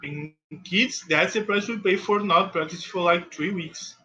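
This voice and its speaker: A man with a Portuguese accent, a native Portuguese speaker, commentating his own speedrun live in halting English that often restarts mid-phrase. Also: high-pitched, sharp tone.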